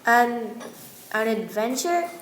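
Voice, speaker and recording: Woman as a high-voiced boastful inventor. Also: lively intonation, takes it slowly, a little hiss or hum. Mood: reluctant. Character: boastful Yankee inventor, the king's right-hand man. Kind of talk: amateur radio play acting. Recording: roomy live room, music behind.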